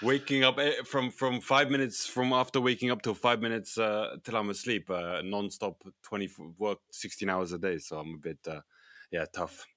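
Podcast co-host: male, lowish pitch, weary and hesitant, conversational, very dry close recording. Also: steady tone, moderate pace, pushed and bright, no room sound. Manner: hesitant, repeats words. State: tired, low energy. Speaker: podcast co-host, film distributor. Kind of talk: casual podcast chat.

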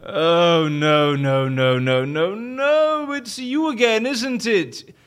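A man's voice: high-pitched, takes it slowly, fairly bright tone. An actor playing a fussy, easily flustered, stubborn and childish male boss in an audio comedy, with a theatrical, stammering delivery. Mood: indignant, stubborn. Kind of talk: audio drama comedy acting.

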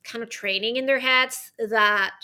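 A woman from Venezuela, a guest on a podcast, talking in a lively, chatty way with many fillers like "right?". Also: on the high side, unhurried, smooth. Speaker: guest, arts consultant and author. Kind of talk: podcast interview.

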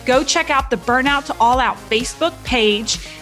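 A woman with a fairly high voice reads an upbeat promo. She pitches straight to the listener, and faint music plays under her. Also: moderate pace, a bit bright. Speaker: podcast host reading a promo. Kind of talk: promotional ad read.

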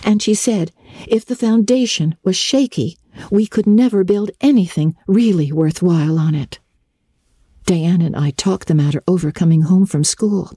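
A woman's voice: on the low side, moderate pace, mellow, slightly rough, dry recording, studio quality. A woman voicing an earnest, talkative thirteen-year-old girl in a dramatic storybook reading, with breathless, solemnly emphatic delivery.

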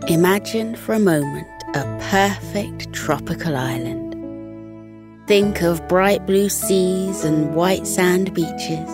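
A woman with a fairly low voice narrates a scripted children's bedtime story. She takes her time, speaking very slowly and soothingly. Music plays behind her.

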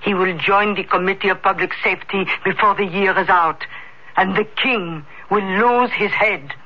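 A woman's voice: mid-range voice, moderate pace, noisy background, close to an everyday voice. An actress playing a curt, cynical older landlady in a radio drama, dry and scornful in her way of talking. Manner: dry, clipped, world-weary, scornful. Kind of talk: old-time radio drama acting. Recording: dull, muffled vintage radio broadcast.

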